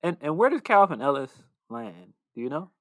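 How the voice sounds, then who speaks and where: A man's voice: on the high side, big pitch swings, normal speed, dry recording. A man chatting casually as a podcast co-host, reflective and a little hesitant. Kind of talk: casual conversation.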